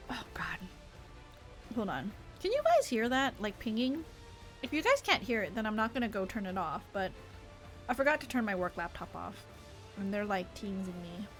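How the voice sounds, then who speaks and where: A woman's voice: on the high side, a bit bright. A young woman livestreaming a game, chatting playfully and casually as she reacts aloud. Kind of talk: casual gaming stream chat. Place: at home, live stream. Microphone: streaming microphone.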